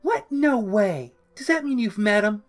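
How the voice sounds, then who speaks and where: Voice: mid-range voice, lively intonation, unhurried, faint music behind, pitched above the performer's own voice, natural voice. A narrator voicing a bubbly, mischievous, childlike young villainess who exclaims, teases and pouts playfully in an audiobook-style story. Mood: excited. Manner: exclaiming, teasing, playfully pouty.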